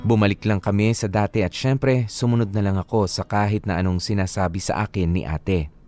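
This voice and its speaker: A man's voice: on the low side, a little mellow, lightly acted. A man narrating a first-person letter in a radio drama, reflective and earnest as he recalls youth. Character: letter writer recalling youth under a strict older sister.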